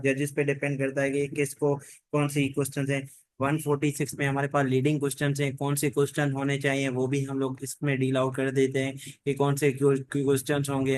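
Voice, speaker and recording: A man's voice: mid-range voice, no echo. A man teaching a class in an informal, rambling lecture style, often repeating stock phrases. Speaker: teacher giving an online law class. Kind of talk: informal lecture mixing Hindi-Urdu and English legal terms.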